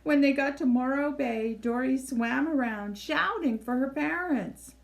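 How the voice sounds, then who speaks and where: A woman reading a children's story aloud in an expressive, measured storytelling style, with deliberate phrasing. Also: high voice, creaky. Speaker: library storybook reader. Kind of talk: expressive scripted read-aloud narration for children.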